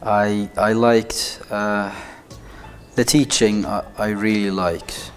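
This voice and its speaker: Hesitant young man, low voice. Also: steady tone, takes it slowly, soft background music. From Egypt. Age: young adult. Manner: hesitant, repeats words. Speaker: student. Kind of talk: testimonial interview. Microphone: lapel.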